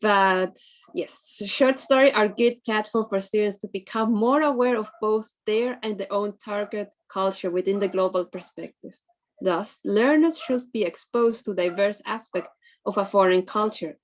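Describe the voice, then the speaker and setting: Woman giving a halting online talk. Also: medium pitch. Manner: informal, halting, restarts her sentences. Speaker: presenter on English teaching.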